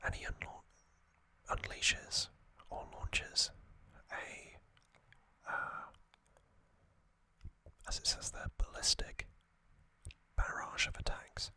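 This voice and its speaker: Guy doing asmr, slow whisper, bright airy sound, lots of ums and uhs. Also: no echo. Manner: hesitant, self-correcting delivery. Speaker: ASMR creator. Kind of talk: whispered ASMR trivia talk.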